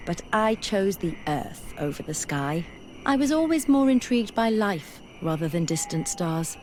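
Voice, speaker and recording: A woman's voice: on the low side, a little mellow, slight background noise, natural voice, rough recording. An actress playing a keen, curious young woman scholar in a fantasy video game, speaking earnestly and articulately. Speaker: companion character. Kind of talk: fantasy game dialogue acting.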